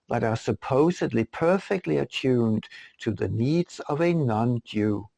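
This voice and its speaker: A man narrates a scripted audiobook at a slow, deliberate pace. His voice is mid-pitched with heavy vocal fry. He phrases things rhetorically, as an author reading his own writing. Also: a little mellow, dry recording.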